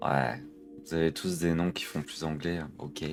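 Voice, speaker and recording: A man at a role-playing table with a very low voice. He talks casually and jokes easily with the others. Faint music plays behind him. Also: steady tone, moderate pace. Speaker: player.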